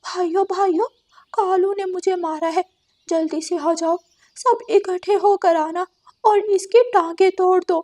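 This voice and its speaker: A woman voicing a spiteful, bossy female cartoon crow with a shrill, complaining, demanding manner. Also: steady tone, measured pace, darkish tone. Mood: agitated, complaining.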